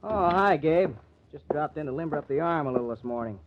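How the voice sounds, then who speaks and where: A man playing a hot-headed, honest star pitcher in an old-time radio crime drama, blunt and slangy. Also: animated, a little fast, noisy background, close to an everyday voice. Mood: restless. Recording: dull, band-limited old radio recording.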